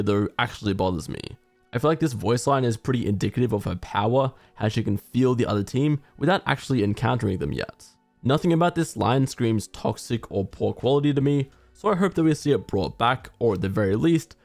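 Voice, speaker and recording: A man giving casual, conversational commentary on a video game in a measured, opinionated way. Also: medium pitch, darkish tone, no echo.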